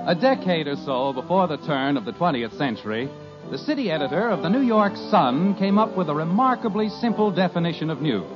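A polished 1950s radio announcer telling the story, his voice lively and pitched a bit high. The old transcription sounds dull, with clear music under him. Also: a little fast.

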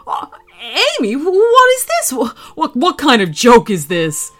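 A woman's voice: on the high side, wildly swooping pitch, normal speed, sharp tone, exaggerated voice. A woman voicing a shocked, flustered father in a storybook reading, stammering in alarm.

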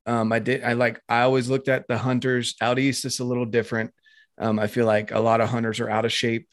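A man's voice: medium pitch, level tone, a little fast, no room sound, clean studio sound. Chatty man from North Carolina. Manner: chatty, laughs often, lots of fillers. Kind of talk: casual chat.

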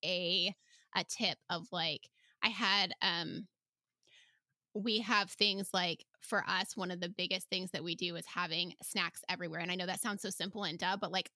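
A woman's voice: medium pitch, bright, forceful tone, dry recording, clean studio sound. A woman hosting a casual, chatty interview, speaking in an enthusiastic and encouraging way. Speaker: podcast host.

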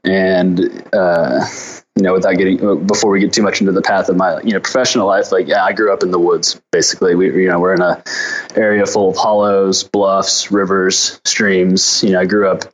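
Low, even-voiced American man. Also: moderate pace, low-quality recording. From Arkansas, United States. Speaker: company founder, interview guest. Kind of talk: conversational interview answers.

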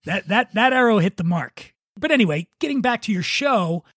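A man with a notably high voice hosts a podcast in casual, conversational style. The sound is dry and close, and his talk is loose and informal. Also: lively intonation, moderate pace, a bit bright, dry recording. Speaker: podcast host.

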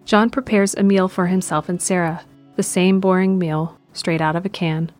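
A woman's voice: lowish voice, steady tone, normal speed, a little mellow, faint music behind. A woman narrator reading scripted present-tense narration for an audio drama.

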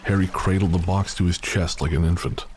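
A man's voice: deep voice, fairly even intonation, fairly quick, darkish tone, slight background noise. A man narrating a scripted audio drama as its storyteller.

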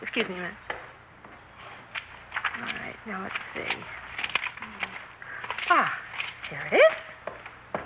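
A woman's voice: medium pitch, huge pitch swings, slow, pauses often. An actress with an American accent playing a nervy, determined young woman playwright in a 1960s radio drama. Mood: confident, pointed. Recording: muffled 1960s radio recording, noticeable hiss.

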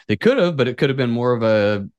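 A man's voice: medium pitch, very animated, quick pace, a little mellow, no room sound, highs cut off. A man hosting a podcast, talking casually and musing speculatively, with some offhand swearing. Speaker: podcast host. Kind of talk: casual conversational podcast talk.

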